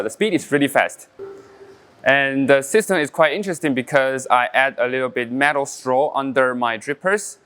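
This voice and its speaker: Taiwanese barista, mid-pitched and clean-toned, relaxed demo delivery with pauses and fillers. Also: male voice, clean tone. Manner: halting non-native English with frequent fillers, explaining step by step. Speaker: coffee brewing champion. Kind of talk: casual brewing demonstration.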